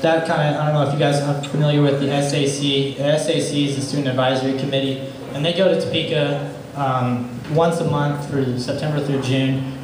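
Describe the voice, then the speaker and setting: A young man running for student government president, giving halting, casual debate answers full of fillers. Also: on the high side. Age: young adult. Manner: halting, many fillers like "you know", casual. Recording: echoey room from far off, background noise.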